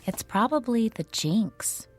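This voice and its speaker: A woman's voice: on the high side, expressive, takes it slowly, soft background music. A woman acting a playful, teasing young college theater student in a naturalistic radio drama. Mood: caring, teasing.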